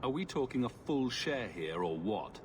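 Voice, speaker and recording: A man's voice: medium pitch, sing-song, normal speed, noisy background, lightly acted. A man voicing a level-headed, dryly confident human adventurer in a video game. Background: loud music.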